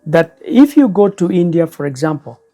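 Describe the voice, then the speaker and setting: An older man, a guest elder recalling the past in slow, measured speech. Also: fairly high pitch, sing-song, dark tone. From Kenya. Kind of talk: reflective storytelling interview.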